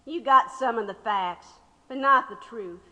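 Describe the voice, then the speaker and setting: A woman's voice: on the high side, a little slow, pushed and bright, muffled recording. A woman acting in an audio drama as a proud, defiant labor activist under interrogation, speaking with impassioned storytelling. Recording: long hall reverb.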